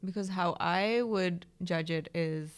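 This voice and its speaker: A woman hosting a casual podcast, friendly and quick to agree with her guest. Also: fairly low pitch, clear, resonant tone. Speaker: podcast host.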